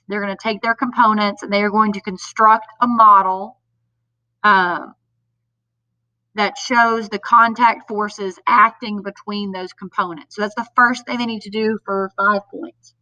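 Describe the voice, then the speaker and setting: A woman's voice: mid-range voice, fairly even intonation, unhurried, bright, forceful tone, no echo, far from the mic. Nasal-sounding woman teacher with a cold. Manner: patient step-by-step explaining with frequent ums. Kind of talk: homework explainer video for parents. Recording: dull lo-fi sound a bit off mic.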